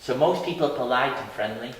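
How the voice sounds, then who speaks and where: A man's voice: medium pitch, fast talker. A man, a teacher, answering an interview question in a friendly, easygoing way. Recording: echoey hall, mic far off.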